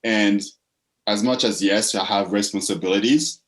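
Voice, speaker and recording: A man from the Congo speaks as a guest in a casual interview. His voice sits low. He talks reflectively and often repeats a word while he finds the next one. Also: moderate pace, a bit bright. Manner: reflective, repeats words while searching for phrasing. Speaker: guest, purpose coach.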